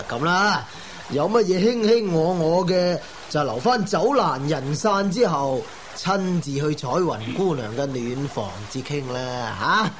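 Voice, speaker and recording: A genial, teasing gentleman of old China in a radio play. He coaxes formally in a fairly high voice. There is faint watery noise behind. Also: animated, slow pace, few pauses. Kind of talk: Cantonese radio drama acting.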